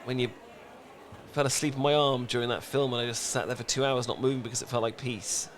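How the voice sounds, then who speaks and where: A British man acting a flustered, emotionally repressed and lovesick best friend in a comedic romantic audio roleplay, stammering and pleading in halting phrases. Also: mid-range voice, little pitch movement, slight background noise, close to an everyday voice. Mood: tender, confessional.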